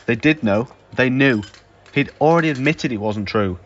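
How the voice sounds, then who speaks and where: A man's voice: mid-range voice, normal speed, muffled recording, natural voice. A young man acting in an audio drama as an opinionated man who is smugly sure he is right. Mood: smug, insistent. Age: young adult. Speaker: student actor.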